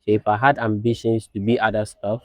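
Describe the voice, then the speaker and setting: A young man from Ghana, born in Nigeria, a fashion designer speaking as a guest in a casual interview, soft-spoken and reflective. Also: lowish voice, little pitch movement, brisk, no room sound, muffled recording.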